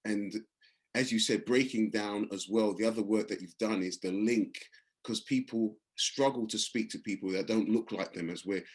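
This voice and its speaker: A man hosting an online meeting, responding to a speaker in an appreciative, measured way. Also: lowish voice, husky. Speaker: meeting host. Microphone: video call.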